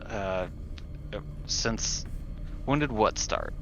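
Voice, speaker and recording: A man playing a tabletop roleplaying game, talking casually and haltingly as he thinks aloud. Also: on the low side, huge pitch swings, vocal fry. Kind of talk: casual improvised game chat. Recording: dull lo-fi audio, faint background noise.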